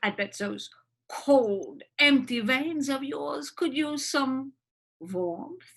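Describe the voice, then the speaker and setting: A woman's voice: high-pitched, lively intonation, drawn-out words, gravelly, heavily acted. A woman playing a gloating, cackling villainous hospital doctor in a script reading. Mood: menacing.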